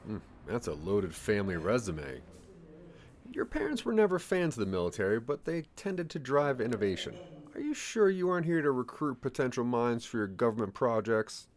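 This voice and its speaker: A man's voice: mid-range voice, sing-song, normal speed, natural voice. A man playing a guarded, flirty tech hobbyist in an audio drama, bantering with slight awkwardness. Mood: mock defensive banter.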